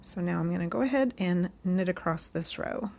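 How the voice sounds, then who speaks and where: A woman hosting a casual knitting tutorial, chatting along as she knits and a bit hoarse from losing her voice. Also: on the low side, normal speed. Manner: chatty and friendly, talks while knitting.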